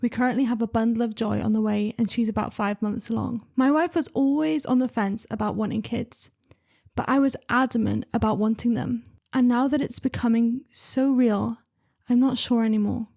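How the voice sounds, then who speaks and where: A young woman hosting her channel, reading online stories aloud with chatty, excitable commentary and asides. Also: a little high, normal speed, gravelly. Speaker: reader and channel host.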